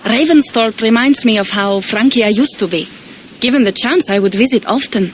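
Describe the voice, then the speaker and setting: A woman's voice: on the high side, moderate pace, a little hiss or hum, natural voice. An actress playing a proud Viking woman envoy, earnest and persuasive.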